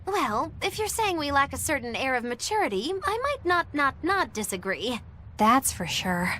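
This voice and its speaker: A woman playing a lively, caring young woman in a video game, expressive and a little theatrical. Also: high-pitched, moderate pace, slight background noise, full character voice. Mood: sheepish. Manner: expressive, animated. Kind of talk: animated video game dialogue.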